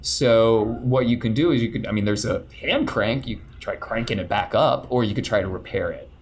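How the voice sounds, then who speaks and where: A man running a tabletop role-playing game, describing the scene to the players in a relaxed way. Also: fairly low pitch, fairly quick, slight background noise. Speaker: game master.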